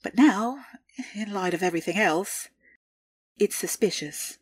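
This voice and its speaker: A woman playing a calculating, deferential underworld information broker in an audio drama, with formal, measured, precise delivery. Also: medium pitch, husky, dry recording. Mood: businesslike.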